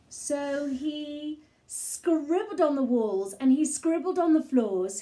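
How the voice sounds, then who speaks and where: A woman reading a children's story aloud in an expressive style, with measured, dramatic phrasing and pauses. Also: high-pitched, low-quality recording. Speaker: storybook reader.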